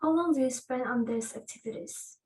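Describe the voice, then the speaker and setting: A woman's voice: sits high, a little fast, a little mellow. A young woman acting as examiner in a mock English speaking test, asking brief formal questions and giving feedback. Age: young adult. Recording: far from the mic.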